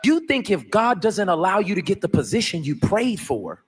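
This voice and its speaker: A man preaches in a high, husky voice. He delivers his sermon emphatically, urging the listeners on. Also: very animated, moderate pace.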